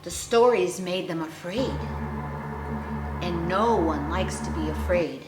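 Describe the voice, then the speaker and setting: A woman storyteller reading a picture book aloud to young children with theatrical, deliberate phrasing and dramatic emphasis. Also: fairly low pitch. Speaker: children's storyteller, clown character host. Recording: roomy echo, faint music behind.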